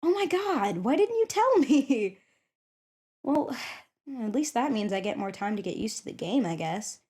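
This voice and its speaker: A woman's voice: on the high side, big pitch swings, unhurried, warm tone. A woman playing a shy but eager popular schoolgirl in an ASMR roleplay, chatting hesitantly and thinking aloud. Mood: flustered. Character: shy, eager popular schoolgirl curious about tabletop games. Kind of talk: ASMR roleplay, one-sided conversation.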